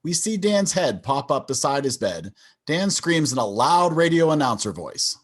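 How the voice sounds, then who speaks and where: A man's voice: on the high side, very animated, normal speed. A man narrating stage directions for a scripted sitcom table read over a video call.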